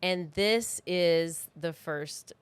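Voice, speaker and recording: A woman's voice: fairly low pitch, very slow, smooth. A woman hosting a podcast, telling true-crime stories in an informal, chatty way. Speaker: podcast host. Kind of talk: casual true-crime storytelling.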